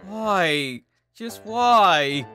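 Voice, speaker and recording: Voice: medium pitch, animated, drawn-out words, sharp tone, faint music behind, pitched above the performer's own voice, exaggerated voice. A narrator voicing a put-upon young male cartoon dragon, plaintive and exasperated.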